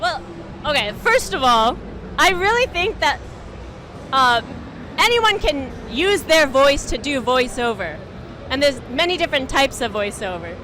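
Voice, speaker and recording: Bright, lively woman voice actor. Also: high-pitched, a little slow, a little hiss or hum. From United States. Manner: animated, laughs often, slips into character voices. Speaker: voice actor guest. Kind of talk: casual interview chat. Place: gaming festival.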